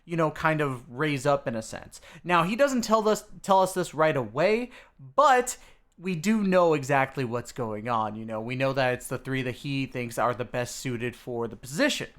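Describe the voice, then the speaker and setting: A man's voice: medium pitch, animated, normal speed, fairly bright tone. A man hosting a video, giving a casual, informal game story recap straight to his viewers. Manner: informal, addresses viewers as dudes and guys. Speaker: video host.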